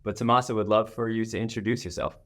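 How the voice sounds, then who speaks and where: A man's voice: lowish voice, level tone, fast. A man hosting a podcast in a conversational interviewing style. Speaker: podcast host.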